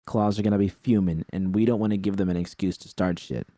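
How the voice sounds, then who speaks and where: A man's voice: low voice, fairly even intonation, fast, mellow, no room sound, studio quality, lightly acted. A man voicing a streetwise, protective young woman in a story, with blunt, slangy, reassuring talk. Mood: reassuring, firm.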